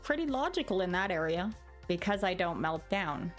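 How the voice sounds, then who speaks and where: A woman's voice: lowish voice, very animated, moderate pace, faint music behind. A woman in her forties doing a sarcastic, theatrical dramatic reading of online posts with commentary. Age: 40s. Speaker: video host.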